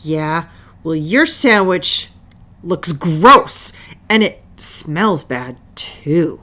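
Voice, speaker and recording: Storybook schoolgirl, proud and snappy, very lively pitch, unhurried emphatic lines acted by an adult woman. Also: heavily acted. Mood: indignant snapping. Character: Selma, young schoolgirl, proud, snappy, friendly underneath. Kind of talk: animated picture-book character reading.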